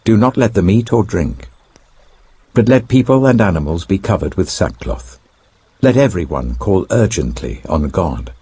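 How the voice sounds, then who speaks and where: British man as stern royal ruler, low dark voice, commanding, proclamatory, penitent, audiobook scripture reading. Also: sing-song, moderate pace, breathy edge, dry recording, heavily acted. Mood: commanding, earnest. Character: stern, penitent king issuing a royal decree.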